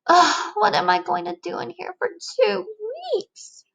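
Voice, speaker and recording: A woman voicing a very impatient, excitable young caterpillar from a storybook, whiny, pestering and dramatic. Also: extremely high pitch, unhurried, gravelly, pitched above the performer's own voice, exaggerated voice, poor audio. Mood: exasperated, whiny.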